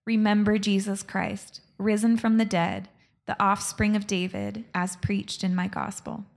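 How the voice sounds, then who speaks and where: A woman reading a scripture passage aloud in a measured, even way. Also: medium pitch, creaky. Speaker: scripture reader.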